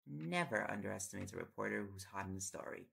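Man acting a very creaky-voiced affectionate reporter boyfriend. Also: on the low side, animated, quick pace, bright, forceful tone, exaggerated voice. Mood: teasing, mock indignant. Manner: playful, coaxing, rambling when on a topic. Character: tired, affectionate, teasing young male reporter boyfriend. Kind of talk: intimate audio roleplay, sleep-aid acting.

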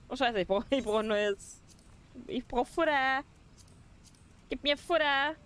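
A woman's voice: on the high side, a little hiss or hum. A young woman streaming a game from her room, chatting casually and giggling often. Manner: giggly, laughs often between remarks. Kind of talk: casual gameplay livestream commentary.

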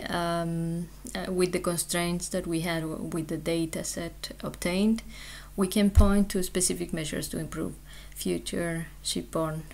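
A woman with a slightly low voice presents scientific research in an explanatory way. Her delivery is hesitant, broken by frequent pauses and ums as she works through technical material. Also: darkish tone.

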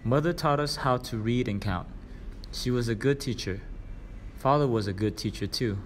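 A man's voice: mid-range voice, normal speed, a little hiss or hum. A man reading a children's storybook aloud as scripted narration. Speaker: reader.

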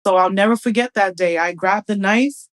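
Woman, thirties, mid-pitched voice, for earnest first-person testimony told conversationally and with emphasis. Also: normal speed. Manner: earnest, emphatic, acts out remembered dialogue. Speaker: guest sharing personal testimony. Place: small furnished room at home, indoors.

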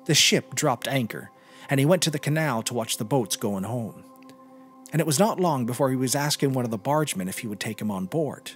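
A man's voice: mid-range voice, lively intonation, fairly quick, soft background music. A man reading a literary short story aloud as a scripted narration. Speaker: podcast reader.

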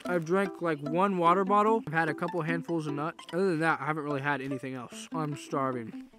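A young man vlogging outdoors on a hiking trail, talking excitedly to a handheld camera at arm's length. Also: fairly high pitch, brisk. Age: young adult. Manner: excited, breathless narration while hiking. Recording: music playing under the voice.

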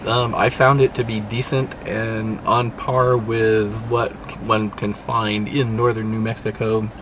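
An older man talks slowly into a dashboard camera from inside a parked car. His delivery rambles along, sprinkled with dry jokes at his own expense. A faint hum of vehicle and street noise sits behind his voice. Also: medium pitch, a bit bright. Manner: unhurried rambling delivery with dry self-mocking asides. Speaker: restaurant reviewer. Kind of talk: casual restaurant review vlog. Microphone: dashboard camera at close range.

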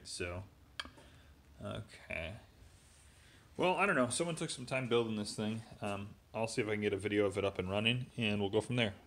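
A man with a low-pitched voice talks through a repair project in a casual, halting way, as if thinking aloud. He is recorded on a handheld phone in a garage, with some noise behind him. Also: fairly bright tone. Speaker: host showing a repair project. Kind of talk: casual hands-on walkthrough. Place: indoors in a garage. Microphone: handheld phone camera, off screen.

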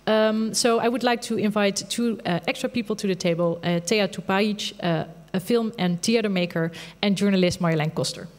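A woman's voice: medium pitch, fairly quick, hardly any pauses, a little hiss or hum. A woman moderating a stage panel, asking questions in a friendly way with many fillers. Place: theater hall with audience. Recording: long reverb of a theater hall.

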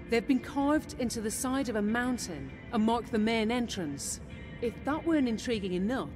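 An actress voicing a steady, loyal female officer in a video game, giving briefings in a measured, serious way. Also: fairly high pitch, natural voice. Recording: music behind the voice.

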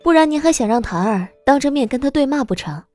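A woman's voice: high voice, animated, fast, mellow, faint music behind. A woman voicing a mature, elegant young lady in an audiobook drama, cool, curt and sarcastic. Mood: irritated.

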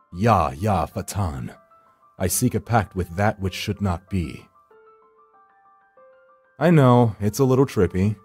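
Low, wry horror narrator, acted by a man. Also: dark tone, husky. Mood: ominous. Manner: dry, sardonic, theatrical. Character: wry, secretive male hired finder from the edge of death. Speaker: narrator and lead character. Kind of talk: first-person horror visual novel narration. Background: background music.

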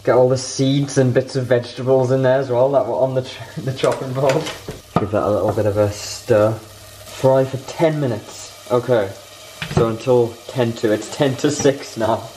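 A young man talks his way through cooking, bemused and mocking himself. His voice sits at a middle pitch and he is recorded on a camera in a home kitchen. Sizzling and stirring can be heard clearly behind him. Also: steady tone, moderate pace, background noise. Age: young adult. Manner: bemused, self-mocking, chatty. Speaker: vlogger. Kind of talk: casual cooking vlog.

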